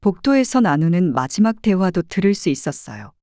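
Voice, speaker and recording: A woman narrating an audio drama as a private detective, in an expressive storytelling manner. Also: on the low side, a little fast, no room sound, clean studio sound.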